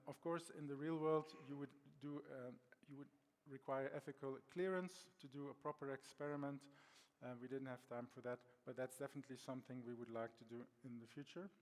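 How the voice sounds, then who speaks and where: A middle-aged man from Belgium with a Belgian accent, giving a conference presentation with frequent ums and restarts. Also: mid-range voice. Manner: hesitant, many ums and restarts. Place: conference hall. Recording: long hall reverb.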